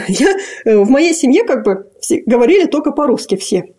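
A young woman from Kazakhstan, a graduate student, talking casually in an interview with many pauses and fillers. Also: sits high, very animated, dark tone, breathy edge. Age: young adult. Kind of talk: casual conversation.

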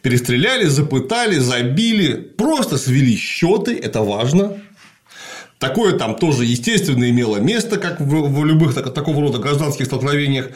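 A man with a mid-range voice that rises and falls a lot as he explains history. He lectures patiently, stopping often to pause and restart. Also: mid-range voice, lively intonation. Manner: lecturing, explanatory, with frequent fillers.

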